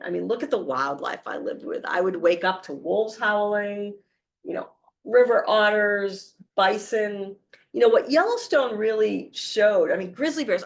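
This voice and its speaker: A hoarse woman in her forties from the Boston area, speaking with a Boston accent, giving an animated, story-filled talk with many fillers. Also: medium pitch. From Boston area, Massachusetts. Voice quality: gravelly, creaky. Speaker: wildlife conservation speaker. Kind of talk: public talk with slides.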